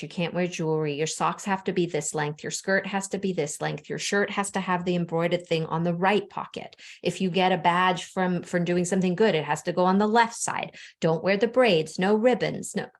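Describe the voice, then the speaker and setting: A young adult woman from the Bahamas, a spiritual coach, speaking openly and earnestly in an interview, with frequent "like" fillers. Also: lowish voice. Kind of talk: candid interview conversation.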